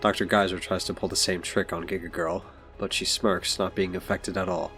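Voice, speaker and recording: Deep guy narrator reading a scripted story, bit of music behind. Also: little pitch movement, moderate pace, a bit bright.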